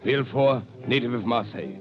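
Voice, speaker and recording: An actor in a 1930s radio drama playing a dutiful informant, reciting in a clipped, impersonal report style. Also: male voice, medium pitch, a little fast, lightly acted. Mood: coolly factual. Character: dutiful male informant coolly reciting crimes. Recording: muffled old radio broadcast, music behind.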